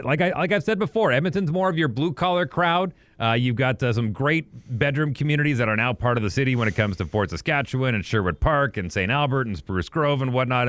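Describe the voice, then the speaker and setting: A man's voice: mid-range voice, fairly bright tone, no echo. A man co-hosting a podcast, chatting casually and reminiscing in a rambling way. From Western Canada. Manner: rambling, reminiscing, quick asides. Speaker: podcast co-host. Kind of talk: casual sports chat. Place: apartment recording studio.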